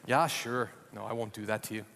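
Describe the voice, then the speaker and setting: A man's voice: lowish voice, fairly even intonation, brisk, creaky, fairly bright tone, big-room sound, a little hiss or hum. A middle-aged American man from Minnesota, preaching a sermon as a guest speaker with folksy, self-deprecating humor. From Minnesota, USA. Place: church hall.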